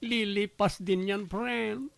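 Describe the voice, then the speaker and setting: A woman's voice: medium pitch, fairly even intonation, slow pace, vocal fry, dry recording. A woman playing a chatty, bossy, loyal best friend in a radio drama. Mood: consoling, bossy.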